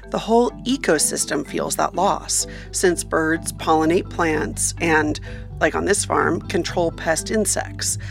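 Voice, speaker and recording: A woman's voice: on the low side, vocal fry. A woman reporter reading scripted narration for a radio feature.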